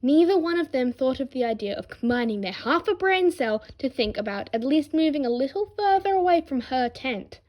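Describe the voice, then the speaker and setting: A woman's voice: high voice, expressive, a little fast, few pauses, highs cut off. A woman narrating a scripted fan story expressively, with wry, dramatic emphasis as an amateur reader.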